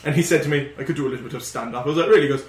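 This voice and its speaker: A man's voice: medium pitch, echoey room. A man co-hosting a podcast, chatting casually and telling stories, laughing often and swearing freely. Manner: quick, laughing, swearing storyteller. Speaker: podcast co-host. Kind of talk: casual chat.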